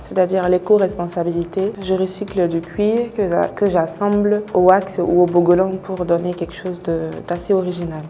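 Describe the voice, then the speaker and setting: A woman's voice: on the low side, steady tone, moderate pace, few pauses, warm tone, a little hiss or hum. A woman in her twenties, a fashion contestant, speaking in a playful way. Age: 20s. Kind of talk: casual interview.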